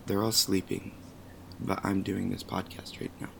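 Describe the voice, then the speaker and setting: Hoarse, gravelly young man podcasting. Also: sits low, completely flat delivery, normal speed, a bit bright, a little hiss or hum, poor audio. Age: early 20s. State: hoarse from losing his voice, tired. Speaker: podcast co-host. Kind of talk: casual pop culture podcast chat. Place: hotel room on a trip.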